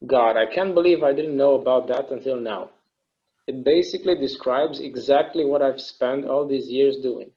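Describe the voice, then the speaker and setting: A man acting a weary, wistful game obsessive in a casual, halting conversational style at a table read. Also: medium pitch, dull audio, lightly acted. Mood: curious, casual.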